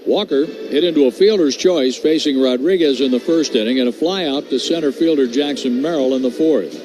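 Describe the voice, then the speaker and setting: A man working as a radio play-by-play announcer, calling baseball pitch by pitch in a brisk, steady way that rises on big plays. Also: low-quality recording. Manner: brisk, steady calls that lift on hits.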